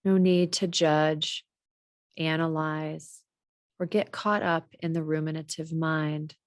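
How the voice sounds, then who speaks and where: A woman guiding a body scan meditation in a measured, gently instructional way. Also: low-pitched, fairly even intonation, dry recording, clean studio sound. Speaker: meditation teacher.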